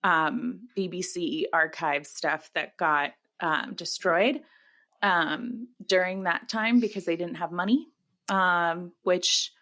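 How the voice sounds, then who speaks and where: A woman's voice: on the low side, slow, bright, forceful tone. A woman co-hosting a podcast in casual chat, with a jokey, self-deprecating way of talking. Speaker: podcast co-host.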